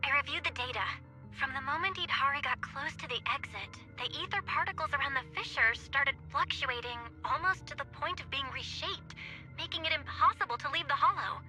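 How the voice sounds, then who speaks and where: A woman's voice: sits high, brisk, bright, forceful tone. An actress playing a practical young woman teammate in a video game, talking in a businesslike, analytical way. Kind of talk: English video game voice acting. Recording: faint music behind.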